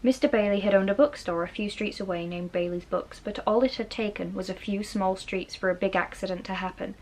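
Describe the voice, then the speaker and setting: A woman's voice: medium pitch, steady tone, brisk, darkish tone. A woman reading a children's novel aloud as a storybook narrator. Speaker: storybook reader. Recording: noisy, rain in the background. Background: rain.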